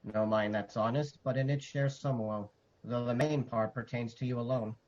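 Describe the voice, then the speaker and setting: Male actor as a grave, sorrowing herald for classical radio tragedy; mid-pitched, measured verse, slightly muffled audio. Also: fairly even intonation, a little mellow, close to an everyday voice. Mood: heavy, reluctant. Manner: measured, solemn verse delivery. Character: Ross, sorrowful noble messenger bearing dreadful news. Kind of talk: Shakespearean radio drama acting.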